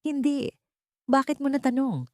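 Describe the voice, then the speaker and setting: A woman's voice: sits high, expressive, moderate pace, mellow, dry recording, pitched above the performer's own voice. A troubled, brooding father in an audio drama, acted out by a woman narrator. He sounds like a man weighed by guilt and doubt. The recording is dry. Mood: hesitant. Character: troubled, guilt-ridden husband and father, doubting his wife.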